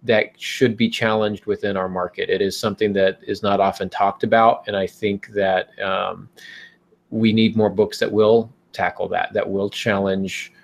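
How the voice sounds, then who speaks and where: A man hosting a casual advice discussion, speaking in a measured, hesitant and reassuring way. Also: on the low side, level tone, creaky. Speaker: podcast host.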